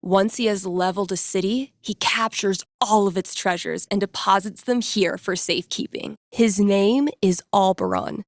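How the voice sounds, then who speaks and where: An actress playing a young female game master in a video game, narrating dark fantasy in a suspenseful, theatrical way. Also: mid-range voice, moderate pace, few pauses, no room sound, clean studio sound, close to an everyday voice. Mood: ominous. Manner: suspenseful, portentous.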